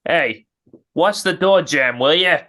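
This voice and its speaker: A performer playing a gruff, griping working-class moving man in an old-time radio drama, with blunt, slangy talk. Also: mid-range voice, takes it slowly, a bit bright. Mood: irritated. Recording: amateur online radio drama recording.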